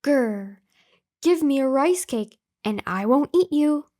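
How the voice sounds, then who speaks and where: A woman's voice: high voice, animated, slow, warm tone. Woman putting on a growling, sly, hungry tiger. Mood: menacing. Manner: growling, coaxing, scheming. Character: big hungry sly tiger, menacing and wheedling. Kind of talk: bedtime folktale storybook reading.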